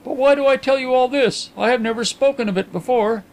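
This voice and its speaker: A brisk gentleman private detective from an audiobook, acted out by a male reader. He is frank and emphatic, with a slightly pompous conversational air. The voice is lifted well up in pitch. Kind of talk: audiobook character dialogue.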